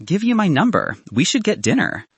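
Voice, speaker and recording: Audiobook role: confident young woman entrepreneur read by a man. Dry banter, animated, husky edge. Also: high-pitched, fairly quick, no room sound, pitched above the performer's own voice, full character voice. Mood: bold, playful. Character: confident, teasing young woman, a sharp student founder.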